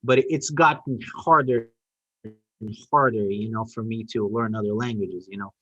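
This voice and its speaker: A man's voice: on the low side, fairly even intonation, normal speed, a bit bright, dry recording, dull audio. A middle-aged man whose first language is Portuguese, talking casually and emphatically, often repeating phrases to make his point. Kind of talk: casual conversation.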